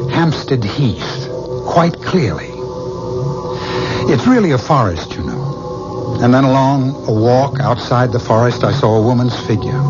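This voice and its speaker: An American actor playing a haunted married man who fears he is a killer, speaking gravely and haltingly in 1970s radio drama style. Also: mid-range voice, lightly acted. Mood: anguished. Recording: muffled, noisy 1970s radio drama recording.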